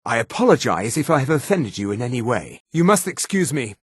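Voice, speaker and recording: A haughty male high elf mage for a fantasy video game. His mid-pitched voice moves expressively up and down, with a husky edge and formal, precise diction. The recording is close and dry. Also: big pitch swings, a little fast, no echo. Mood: earnest, measured. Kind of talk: scripted video game character lines.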